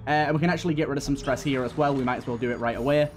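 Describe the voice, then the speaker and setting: A man's voice: mid-range voice, fairly even intonation, rapid-fire. A man giving casual gameplay commentary, thinking aloud through his tactics as he plays. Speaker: gaming channel host. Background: game music.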